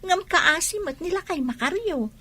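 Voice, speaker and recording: A nosy, chiding young confidante in a Filipino radio drama. Her voice is high and sharply bright. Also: animated, normal speed, no echo, exaggerated voice. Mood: inquisitive, chiding.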